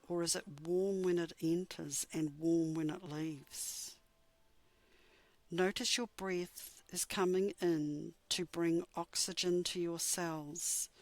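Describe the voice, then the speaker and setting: A woman leading a guided meditation as an instructor, speaking in a measured, soothing way with gentle pauses between phrases. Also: on the low side, no echo.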